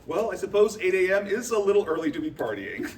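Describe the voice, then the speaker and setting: A man plays a hesitant, eager-to-please nerd in his twenties in a comedy. His voice sits high and moves about a lot. The mic is distant and the room noisy. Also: lively intonation, fast talker. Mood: nervous, polite. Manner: hesitant, awkward, polite. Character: nerdy, eager-to-please young academic boyfriend, awkward outsider. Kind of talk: comedy table read.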